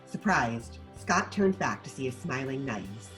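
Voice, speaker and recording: A woman reading stage directions as scripted narration at a table read, in an animated manner. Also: extremely low pitch, unhurried, soft background music, poor audio.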